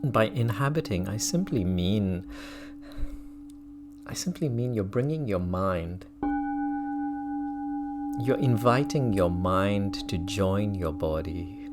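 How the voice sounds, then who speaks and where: A man with a low-pitched voice leads a guided mindfulness meditation. He speaks very slowly, leaving long pauses between measured phrases. He often repeats words as he gives his instructions. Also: over music. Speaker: meditation teacher.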